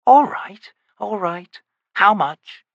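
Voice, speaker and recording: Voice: mid-range voice, animated, takes it slowly, gravelly, fairly bright tone, no echo, dull audio, pitched above the performer's own voice, heavily acted. A narrator voicing a wary, impatient male wizard questioning a witch. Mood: probing.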